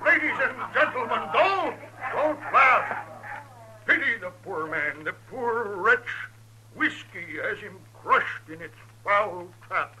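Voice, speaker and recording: Bombastic frontier quack, 1950s radio western, florid oratory, high pitch, sharp bright tone. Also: male voice, animated, slow pace, slightly rough, exaggerated voice, poor audio. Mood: grandiose salesmanship. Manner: florid, theatrical, grandiloquent. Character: bombastic male traveling medicine-show huckster in the Old West. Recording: hissy, humming 1950s radio recording.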